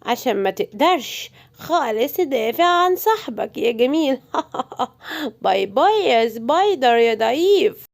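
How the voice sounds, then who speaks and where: Woman playing a high-voiced gloating wicked witch. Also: big pitch swings, moderate pace, gravelly, long pauses, exaggerated voice. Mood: gloating, teasing. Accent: Egyptian.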